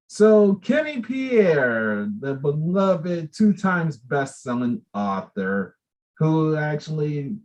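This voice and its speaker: A man's voice: on the high side, a little mellow, highs cut off. A man hosting an interview show, effusive and chatty as he welcomes his guest. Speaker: host.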